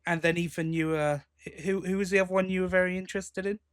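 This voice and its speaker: A man's voice: high voice, fairly even intonation, fast talker, smooth. A British man hosting a podcast in casual chat, rambling along and often interrupting himself. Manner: chatty, rambling, self-interrupting. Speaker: podcast host.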